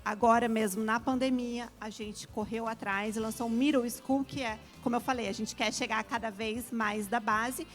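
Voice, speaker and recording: Mulher brasileira, meia-idade, voz aguda, explicativa, muitos "né", música leve ao fundo. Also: female voice, a little high, steady tone, moderate pace, hardly any pauses, faint music behind. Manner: explains at length, frequent "né" tags. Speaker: international education specialist, guest. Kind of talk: explanatory interview talk.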